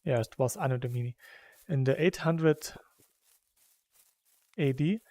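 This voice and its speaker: A man's voice: mid-range voice, measured pace, a little mellow, no echo. A man from Saxony, Germany, with a German accent, chatting casually and rambling while playing a game, laughing between thoughts. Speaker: gaming YouTuber.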